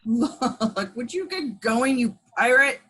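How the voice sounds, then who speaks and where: A man playing a charming, teasing rogue pilot with a husky voice in a script table read. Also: extremely high pitch, animated, slow pace, a bit bright, exaggerated voice. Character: charming, casual male rogue pilot friend.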